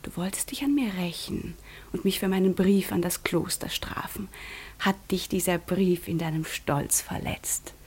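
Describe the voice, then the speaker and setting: A woman acting a proud, sharp-tongued, wounded young noblewoman in a German radio drama, theatrical, often dropping to a whisper. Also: lowish voice, normal speed, husky. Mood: bitter, accusing. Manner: theatrical, teasing, accusing.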